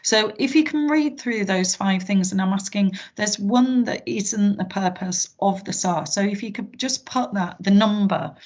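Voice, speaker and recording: A woman's voice: medium pitch, measured pace, hardly any pauses, darkish tone. A British woman giving an online training presentation as a safeguarding trainer, explaining things in an informal, reassuring way over a video call. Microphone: video call microphone. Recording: dull, muffled online call audio.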